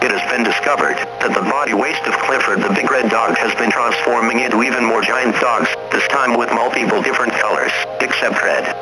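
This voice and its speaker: A man reading a scripted civil danger broadcast in a formal, measured, impersonal manner. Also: medium pitch, rough recording. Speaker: emergency alert announcer.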